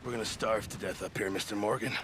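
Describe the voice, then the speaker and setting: An actor playing a worried, grumbling camp cook in a video game, complaining fretfully. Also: male voice, on the low side, fairly even intonation, fast talker, lightly acted. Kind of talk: video game cutscene acting. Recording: noisy game audio.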